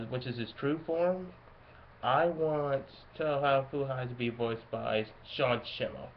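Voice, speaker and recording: A man giving casual, unscripted fan commentary in a halting way, often searching for his words. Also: mid-range voice. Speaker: fan video creator. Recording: muffled lo-fi home mic, background noise.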